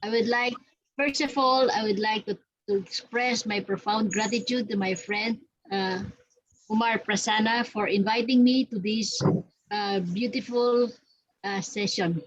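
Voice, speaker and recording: A woman's voice: mid-range voice, steady tone, unhurried, highs cut off. A woman from the Philippines, formally reciting poetry as a guest writer. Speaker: senior writer, guest of honor.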